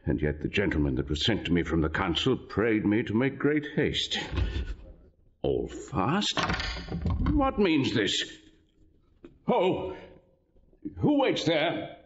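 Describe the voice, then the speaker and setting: Slow, grave, pious archbishop. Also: male voice, on the low side, wildly swooping pitch, slight background noise, heavily acted. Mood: anxious, resigned. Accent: British. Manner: grave, measured. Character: meek, pious, dignified older archbishop, wronged. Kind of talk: Shakespearean radio drama acting. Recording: slightly dull audio.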